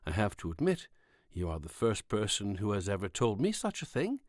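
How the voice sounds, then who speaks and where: A man voicing a gentle, puzzled military doctor who questions a patient in a measured way, in an audiobook. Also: fairly low pitch, wildly swooping pitch, no echo, studio quality. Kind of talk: audiobook character dialogue.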